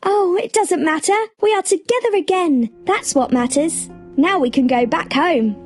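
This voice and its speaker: A woman's voice: very high-pitched, expressive, normal speed, darkish tone, faint music behind. A woman playing a sweet, devoted young girl heroine in a storybook game, speaking in an earnest, tender way. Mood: earnest, hopeful.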